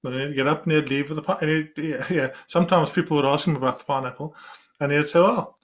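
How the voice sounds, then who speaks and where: A man hosting a podcast interview, relaxed and halting, dropping in "yeah" between thoughts. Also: fairly high pitch. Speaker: podcast host. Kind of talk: casual interview chat.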